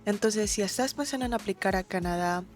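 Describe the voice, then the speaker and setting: A woman's voice: mid-range voice, brisk, faint music behind. A young woman, giving friendly, direct advice to camera in a vlog and dropping in English words. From Ecuador. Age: young adult. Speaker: vlogger.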